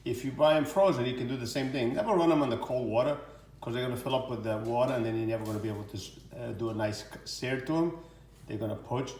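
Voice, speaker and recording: A man's voice: mid-range voice, fast, darkish tone. A middle-aged man from Portugal, a chef giving a rambling home cooking demonstration full of fillers and restarts.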